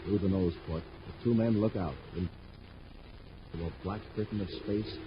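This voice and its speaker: A man's voice: fairly low pitch, normal speed. Dramatic 1950s radio announcer man, muffled recording. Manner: emphatic, dramatic showman delivery. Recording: muffled old radio recording, faint noise.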